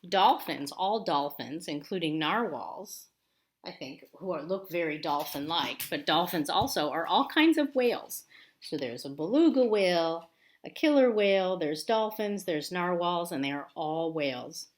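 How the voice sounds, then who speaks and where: A woman's voice: lowish voice, lively intonation, unhurried. A woman in her forties, an elementary teacher giving an informal lesson and read-aloud for children, explaining simply and expressively. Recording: roomy echo, faint background noise.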